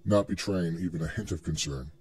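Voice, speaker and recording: A man's voice: sits low, brisk, a little mellow, breathy edge. A man reading scripted story narration as the narrator of a dramatic reading.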